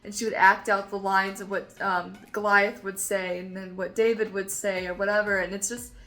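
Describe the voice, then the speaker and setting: A woman's voice: medium pitch, flat delivery, normal speed, bright, forceful tone. A woman vlogger and composer chatting enthusiastically, rambling with lots of "like" and "um". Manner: enthusiastic, rambling with many fillers. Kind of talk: casual chat.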